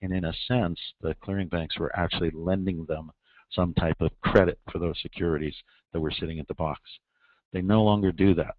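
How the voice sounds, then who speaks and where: A man with a very low voice walks through market details at a steady, explanatory pace, pausing often on um and uh. He comes through a narrow, tinny phone line that sounds dry and close, with no room around it. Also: no room sound. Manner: measured, explanatory, frequent ums and uhs. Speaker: repo market expert guest. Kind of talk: conference call explanation. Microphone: telephone.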